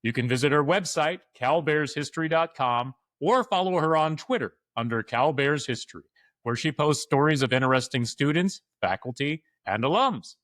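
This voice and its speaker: A man hosting a sports podcast, reading announcements and introducing a guest in an upbeat, smooth way. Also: fairly high pitch, lively intonation, normal speed, a bit bright, no echo, clean studio sound. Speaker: podcast host. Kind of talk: scripted podcast announcements and interview.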